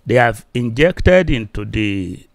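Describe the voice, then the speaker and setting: Slow, gravelly Nigerian man teaching. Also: mid-range voice, expressive, no room sound, clean studio sound. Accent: Nigerian, Yoruba. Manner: slow and halting with many fillers, growing louder and more forceful as he warms up. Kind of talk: solo video teaching. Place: small indoor room. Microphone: desk microphone close up.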